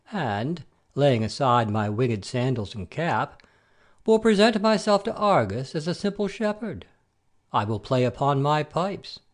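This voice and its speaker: A man playing a wily messenger god posing as a simple shepherd, with an expressive storyteller's delivery in an amateur audio play. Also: fairly high pitch. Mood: obliging, scheming.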